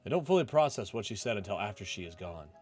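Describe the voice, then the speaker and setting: A man reads as a disillusioned office clerk in a visual novel. His low voice is subdued and reflective, with music playing underneath. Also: big pitch swings, quick pace, a little mellow. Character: disillusioned, introspective male office clerk narrating his life. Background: game music.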